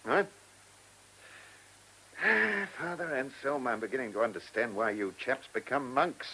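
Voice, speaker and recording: A man's voice: medium pitch, expressive, measured pace, sharp tone, breathy edge, full character voice, rough recording. An actor playing an irritable, shrewd male chief inspector in a radio play, brusque and commanding, given to muttered asides. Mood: exasperated.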